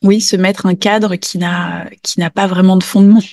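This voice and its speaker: A woman's voice: mid-range voice, level tone, normal speed, gravelly, a little mellow. A woman hosting a casual, reflective podcast interview, meandering as she searches for her words.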